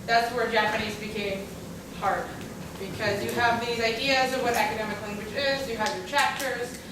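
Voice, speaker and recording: A woman's voice: fairly high pitch, steady tone, normal speed. A young woman from Russia whose first language is Russian, chatting on a panel about her own story with many fillers and asides. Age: young adult. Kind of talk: casual personal story on a panel. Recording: echoey room, far from mic, background noise.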